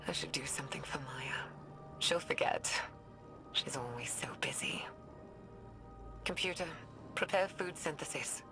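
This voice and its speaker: An actress playing an earnest, weary scientist recording a game audio log in a thoughtful, measured way with hushed phrase endings. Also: female voice, low voice, creaky, whispered. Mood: wistful. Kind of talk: confessional audio log, dramatic game acting. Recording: music behind the voice.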